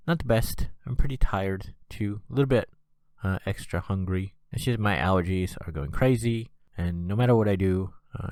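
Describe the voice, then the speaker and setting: A man's voice: low-pitched, a little mellow, clear, resonant tone, no echo. A man with a congested, stuffy nose hosting a casual solo podcast, rambling with plenty of "uh" and "you know". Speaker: podcast host.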